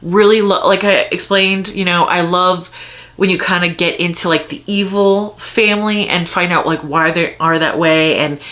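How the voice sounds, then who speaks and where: A woman chatting casually to viewers as a book vlogger, thinking aloud and interrupting herself in an expressive, rambling way. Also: on the low side. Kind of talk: casual chat to viewers, answering tag questions.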